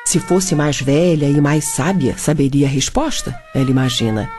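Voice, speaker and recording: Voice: medium pitch, moderate pace, a little mellow, faint music behind. A narrator with a Brazilian accent telling a radio-play fable in a theatrical, playful way.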